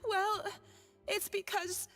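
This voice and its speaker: An actress playing an earnest, loyal, slightly nervous young kitchen cook in a video game, with a pleading manner. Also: female voice, very high voice, flat delivery, takes it slowly, a bit bright, long reverb tail, soft background music, heavily acted. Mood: aggrieved.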